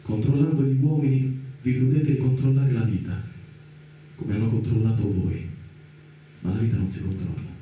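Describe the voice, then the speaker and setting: An actor playing a condemned idealist scientist in a radio play, grave, measured, defiant yet compassionate. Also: male voice, level tone, phone-quality sound, lightly acted. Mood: sorrowful, tender. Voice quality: dark, low, soft-edged. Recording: very echoey, distant, faint background noise.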